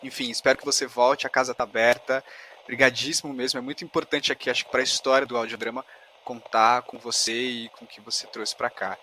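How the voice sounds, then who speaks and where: Host voice: an eager man with a bright, forceful tone, warm to his guests. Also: medium pitch, flat delivery, fairly quick, a little hiss or hum. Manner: enthusiastic, effusive. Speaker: podcast host. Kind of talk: podcast interview.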